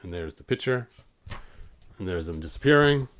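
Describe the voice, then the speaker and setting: A man's voice: low voice, expressive, normal speed, slight background noise. Man casually reading a storybook aloud. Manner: informal, stumbles over words and breaks off for asides. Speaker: host reading a storybook aloud to online viewers.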